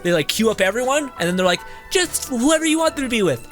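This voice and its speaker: A man's voice: very high voice, sing-song, fast, fairly bright tone. A young man doing casual, jokey game commentary and reading text aloud, with game music behind. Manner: giggly, informal, jokey. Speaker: game streamer.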